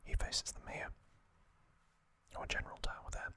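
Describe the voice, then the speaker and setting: Male ASMR host, breathy whisper throughout, bright and crisp on the sibilants, unhurried, gentle trivia recap. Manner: slow, gentle delivery in short, drawn-out phrases.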